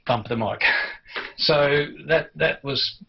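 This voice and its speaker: An older Australian man reminiscing without a script in a halting, storytelling way, as an amateur television hobbyist. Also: a little high, vocal fry, lo-fi sound. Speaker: amateur television enthusiast recounting the past. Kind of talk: unscripted personal reminiscence.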